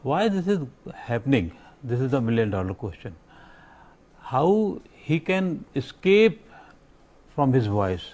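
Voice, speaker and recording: A man with an Indian accent giving his views as a panel guest, halting and searching for words. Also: mid-range voice, very animated, a little mellow. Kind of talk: panel discussion commentary.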